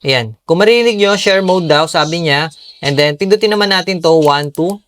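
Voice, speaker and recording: A man's voice: fairly high pitch, normal speed, fairly bright tone. A Filipino man giving a casual, upbeat product tutorial in a conversational way, often addressing his viewers directly. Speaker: product reviewer and tutorial presenter.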